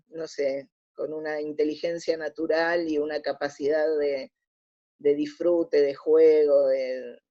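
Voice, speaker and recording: A woman's voice: low-pitched, warm tone. Older Buenos Aires woman, dull lo-fi recording. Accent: Buenos Aires Spanish. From Argentina. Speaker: interview guest. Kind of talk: conversational reminiscing in an interview. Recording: dull lo-fi audio.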